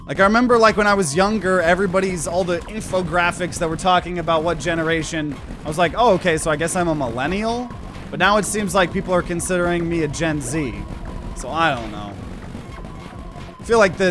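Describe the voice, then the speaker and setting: A man in his twenties streaming a game, chatting with viewers, excitable and swearing freely. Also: fairly high pitch, a little fast, music in the background. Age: 20s. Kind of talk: live gameplay commentary.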